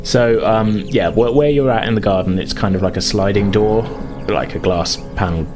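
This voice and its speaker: A man narrates a tabletop game as game master. He explains things conversationally, often qualifying what he says. Music plays clearly behind him. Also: on the low side, normal speed.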